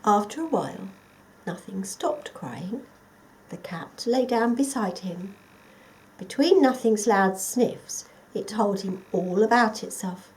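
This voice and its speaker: A woman reading a children's story expressively and dramatically, often dropping to a hush. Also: medium pitch, expressive, slow, poor audio. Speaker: volunteer storyteller.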